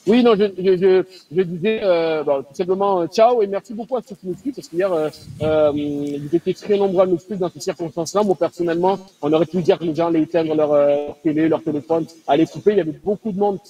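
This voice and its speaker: A guest pundit rattles off his thanks as he leaves, his husky voice pitched a little high. The sound is mellow and distant, as if he sits well away from the microphone. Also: fast, lo-fi sound. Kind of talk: casual sign-off chat.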